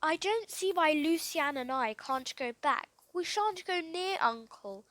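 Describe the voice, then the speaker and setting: A woman's voice: sits high, animated, a little slow, a bit bright, no room sound. A woman voicing a downcast, doubtful schoolboy in a children's storybook, glum and resigned. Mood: dismayed.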